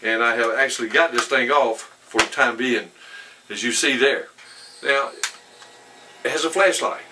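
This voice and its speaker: A man giving a casual hands-on product demonstration in a folksy, rambling, halting way. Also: mid-range voice. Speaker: product reviewer. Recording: distant mic with faint background noise.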